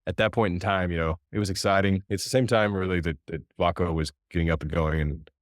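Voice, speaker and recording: Very deep-voiced American man telling a story. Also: fast talker, no room sound, studio quality. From United States. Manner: relaxed, conversational, with fillers. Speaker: company executive. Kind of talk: storytelling in an interview.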